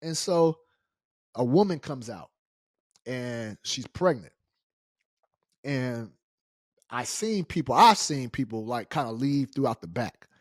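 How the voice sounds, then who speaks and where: A man's voice: mid-range voice, expressive, takes it slowly, long pauses, no echo, studio quality. A middle-aged American man telling a personal story casually and colloquially, acting out the dialogue as he goes. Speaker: storyteller, show host.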